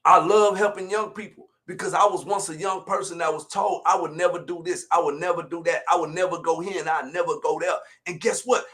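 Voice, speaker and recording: A man, preaching motivation in a colloquial, emphatic and repetitive way, moving between low asides and shouted exhortation. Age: 40s. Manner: colloquial, direct address to listeners. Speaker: motivational speaker. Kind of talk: fiery motivational preaching on a livestream.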